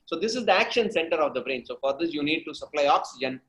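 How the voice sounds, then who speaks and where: Higher-pitched Indian-accented man, emphatic explainer. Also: animated, quick pace, a bit bright. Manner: emphatic teaching, repeats phrases, checks with "okay" and "right". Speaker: guest speaker, storytelling coach. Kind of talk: conversational interview answers with anecdotes.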